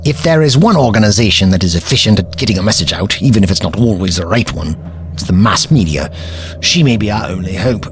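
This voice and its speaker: An actor playing an eccentric, self-assured older time traveller in an audio drama, with pompous, precise, formal diction. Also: male voice, fairly low pitch, expressive, fast, slight background noise, lightly acted. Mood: brisk.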